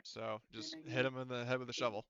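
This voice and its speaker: A man's voice: mid-range voice, flat delivery, fast talker, fairly bright tone, smooth, no room sound, lo-fi sound. A man acting as game master, patiently explaining the rules of a tabletop roleplaying game step by step.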